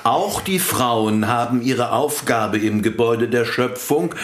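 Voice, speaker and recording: An actor in a radio drama playing a measured, sermonizing churchman. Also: medium pitch, roomy sound, lightly acted.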